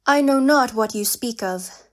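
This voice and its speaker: A woman acts out a proud cat princess in an audio drama. Her voice sits fairly high and moves at a slow, deliberate pace, regal and commanding, with formal, guarded phrasing. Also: natural voice. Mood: stern, guarded. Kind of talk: dramatic audio fan-fiction character acting.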